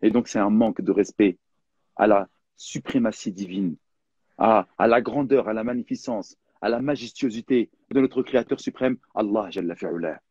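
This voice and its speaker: A man's voice: fairly low pitch, moderate pace, a little mellow, husky, no room sound, lo-fi sound. A young man giving an earnest religious talk, repeating key phrases and leading prayers for others to answer. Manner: leads call-and-response prayers. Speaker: religious speaker.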